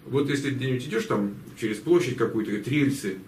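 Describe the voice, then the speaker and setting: A middle-aged man hosting a casual interview, telling anecdotes in a joking, halting way and repeating words as he goes. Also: mid-range voice, darkish tone. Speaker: interviewer and channel host. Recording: echoey room, distant mic, faint noise.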